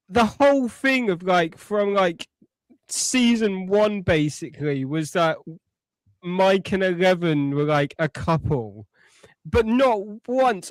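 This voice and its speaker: A man's voice: high voice, dry recording, rough recording. A man on a podcast panel, talking casually about films and television. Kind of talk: casual film and TV chat.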